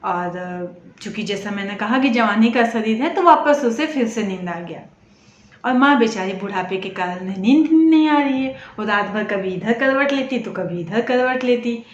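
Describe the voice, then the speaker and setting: A woman's voice: a little high, expressive, normal speed. A woman telling an emotional moral story, speaking directly to her listeners as a storyteller. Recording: echoey room, dull sound, faint noise.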